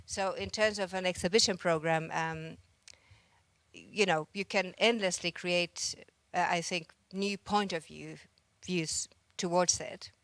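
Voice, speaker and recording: Low, smooth-voiced Finnish woman. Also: steady tone, unhurried, fairly bright tone, dry recording. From Finland. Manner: reflective, searching for words with frequent restarts. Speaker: visual artist giving a conference talk. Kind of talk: conference presentation. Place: conference hall stage.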